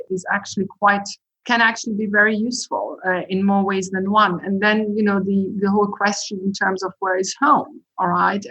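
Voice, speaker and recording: A middle-aged woman being interviewed, who talks in a measured, reflective way. Her voice sits at an ordinary middle pitch, and she weighs her words with frequent "sort of" and short pauses. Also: sharp tone, breathy edge, poor audio. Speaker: guest, university president.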